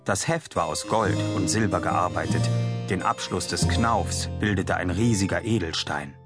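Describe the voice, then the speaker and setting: A man's voice: low-pitched, normal speed, music in the background. A man in his forties reading scripted literary narration as an audiobook narrator. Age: 40s.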